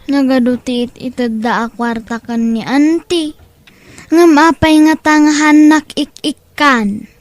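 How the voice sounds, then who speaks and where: Woman doing sad homesick filipino kid boy, high voice, talks slow, audio drama. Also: no echo. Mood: plaintive. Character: weary, homesick young schoolboy forced to sing for money. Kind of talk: Ilocano audio drama acting.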